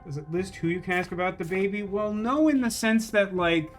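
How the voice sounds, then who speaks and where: A man's voice: sits high, brisk, soft background music. A man streaming a game with casual, wry commentary, rambling as he thinks aloud.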